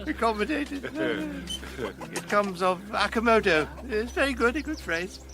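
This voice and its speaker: An actor playing a dithering, eager old English country justice in a radio drama, chuckling and fussily repeating himself. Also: male voice, very high voice, expressive, measured pace, exaggerated voice. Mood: delighted, chuckling. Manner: fussy, repetitive, chuckling. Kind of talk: classical radio drama acting. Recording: radio play with crowd sounds.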